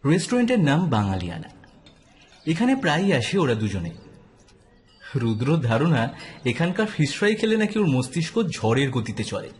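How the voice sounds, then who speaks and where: A man's voice: medium pitch, slight background noise. A man narrating a scripted detective story as an audio drama narrator.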